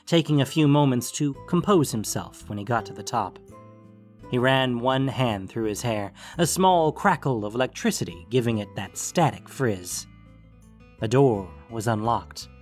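A man reading scripted fiction as an audiobook-style narrator. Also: mid-range voice. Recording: music bed under the voice. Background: guitar music.

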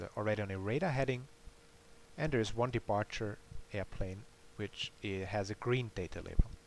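A man narrates a software tutorial in an explanatory, matter-of-fact way. His voice sits in an ordinary middle register. A faint layer of hiss runs behind him. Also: medium pitch, poor audio. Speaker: developer demonstrating a simulator game. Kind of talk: tutorial voice-over. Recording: clear background hiss.

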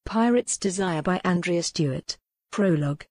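A woman's voice: lowish voice, moderate pace, darkish tone, faint music behind. A woman reading scripted narration as an audiobook narrator of historical fiction.

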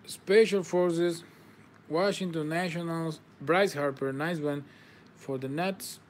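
A man hosts a card break at an indoor table, his voice a little higher than most, unhurried and slow. His first language is Spanish, and his phrasing shows it as he congratulates viewers on their pulls. A faint hiss sits under his voice. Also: steady tone. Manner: upbeat, congratulating viewers, non-native phrasing. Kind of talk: live card-breaking commentary.

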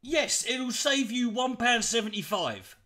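A man's voice: extremely high pitch, a little slow. A man with an Irish accent giving a profane, mocking reaction commentary from home. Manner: mocking, swearing, animated.

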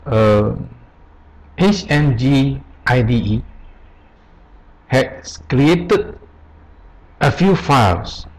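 A man presenting an unscripted software tutorial, explaining step by step in short, halting phrases. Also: mid-range voice, animated, slightly rough, rough recording. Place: voice-over on a screen recording.

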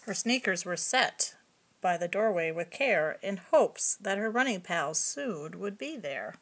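A woman reading a picture book aloud to young children, expressive and explaining things simply. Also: mid-range voice, a little slow. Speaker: preschool story reader.

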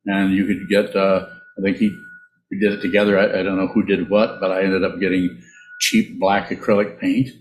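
Older man with a low, echoey voice. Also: little pitch movement, a little hiss or hum. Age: older, around 70s. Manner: halting, reflective, with repetitions. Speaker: Buddhist teacher. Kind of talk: informal dharma talk and answering questions. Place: small indoor room, on a video call. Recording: roomy, echoey video call.

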